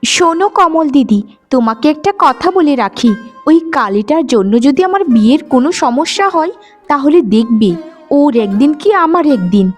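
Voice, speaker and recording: Cruel young cartoon sister-in-law, female performer: high voice, haughty, sneering, taunting. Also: expressive, moderate pace, soft background music. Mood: plotting. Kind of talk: melodramatic moral-story cartoon acting.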